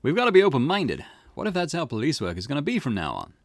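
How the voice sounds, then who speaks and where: A man's voice: fairly high pitch, wildly swooping pitch, quick pace, no echo, studio quality, pitched above the performer's own voice. A man voicing a breezy, enthusiastic junior police detective in a visual novel, chatty and chirpy. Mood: eager, chatty.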